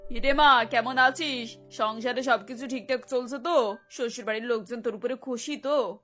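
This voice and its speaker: Woman as a breezy reassuring aunt, fairly high. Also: fairly even intonation, moderate pace, a bit bright, faint music behind. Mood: caring, curious. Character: caring, reassuring middle-aged aunt, breezy and persuasive. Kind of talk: cartoon voice acting.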